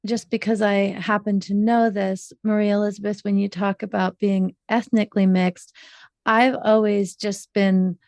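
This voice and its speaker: A woman hosting a relationship podcast, asking slow, thoughtful questions with measured, drawn-out phrasing. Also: mid-range voice, little pitch movement, darkish tone, no echo. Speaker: podcast host, relationship coach.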